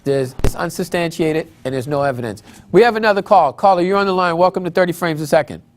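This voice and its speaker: Man, middle-aged, call-in show host, calm and affirming, high voice, faint background noise. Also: fast talker. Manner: calm, affirming, asks plain questions. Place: TV studio.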